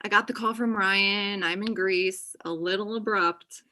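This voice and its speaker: A woman's voice: mid-range voice, fairly even intonation, unhurried, pushed and bright. A young woman playing a chatty, overenthusiastic and possessive best friend in a comedy table read over a video call. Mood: indignant. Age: young adult. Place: indoor room on a video call.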